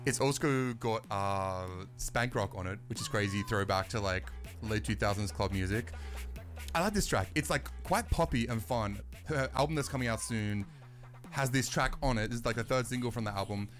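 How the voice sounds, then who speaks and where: A man's voice: fairly low pitch, normal speed, fairly bright tone, faint music behind. A young man hosting a casual radio show, chatting and rambling with lots of fillers. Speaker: radio host.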